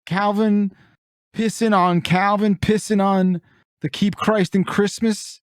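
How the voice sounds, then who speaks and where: A man's voice: sits high, little pitch movement, slow pace, clear, resonant tone, no echo. Man bantering, close and dry. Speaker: podcast co-host. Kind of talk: casual comedic banter.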